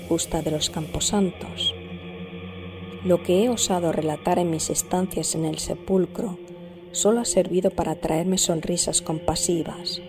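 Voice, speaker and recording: A woman narrator giving a measured, scripted reading of a gothic horror story. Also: lowish voice. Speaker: audiobook narrator. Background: music.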